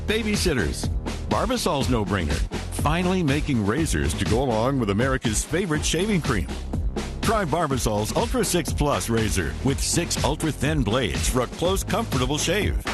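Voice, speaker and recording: A male announcer reads a scripted product ad with animated pitch movement in a medium voice. Clear music plays underneath. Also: normal speed. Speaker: commercial announcer.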